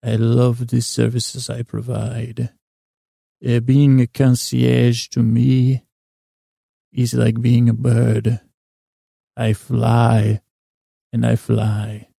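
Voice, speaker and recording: A man's voice: medium pitch, completely flat delivery, very slow, gravelly, long pauses, mellow, slightly rough, no room sound, studio quality, heavily acted. A man playing a polite, proud, servile New York hotel concierge in a formal, courtly, pompous manner for a comic bedtime podcast. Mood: earnest, serene.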